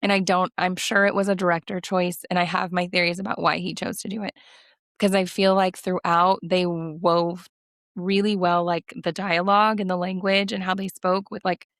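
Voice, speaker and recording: A woman co-hosting a casual podcast chat by video call, hoarse from having lost her voice, talking animatedly. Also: normal speed, no echo, studio quality. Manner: animated, mocks with a high put-on voice. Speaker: podcast co-host.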